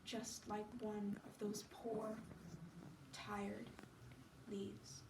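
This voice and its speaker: A woman voicing a frail, listless young invalid, soft and weary, in a dramatic story. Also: mid-range voice, monotone, extremely slow. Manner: weary, faint, trailing off. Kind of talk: solo dramatic storytelling performance. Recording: echoey room, distant mic, background noise.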